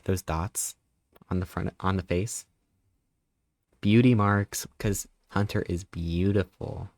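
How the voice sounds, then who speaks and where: Man in his thirties, streamer, low voice, dry close sound, playful rambling, squeaky pitch jumps. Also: darkish tone, dry recording. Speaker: live streamer. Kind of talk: casual live-stream chat reading chat messages.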